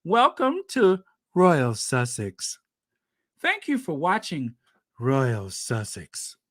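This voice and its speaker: A man hosting a casual livestream, chatting with viewers and reading their comments aloud, animated and quick to laugh. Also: on the high side, no room sound, clean studio sound. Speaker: livestream host.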